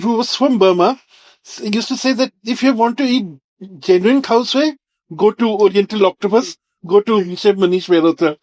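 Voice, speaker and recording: High-voiced Indian host, rambling. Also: male voice, darkish tone, no echo. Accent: Indian English. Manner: rambling, with frequent uhs and restarts. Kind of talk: hosting a food interview show.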